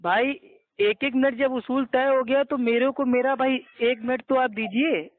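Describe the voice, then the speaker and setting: A man's voice: very high voice, steady tone, normal speed. A man in an argumentative phone debate, speaking insistently and appealing to a moderator. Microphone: telephone. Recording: tinny narrowband conference phone call.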